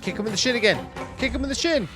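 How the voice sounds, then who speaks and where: A man streaming an excitable live reaction to a game, slangy and repeating his phrases. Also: very high voice, racing. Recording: loud game music behind the voice.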